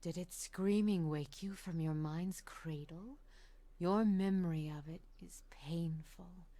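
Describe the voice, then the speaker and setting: Gaunt old seer lady for a fantasy game, gentle n cryptic, lowish voice, talks real slow. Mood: haunted, hushed. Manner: soft, slow, halting, solemn delivery. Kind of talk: fantasy video game voice acting.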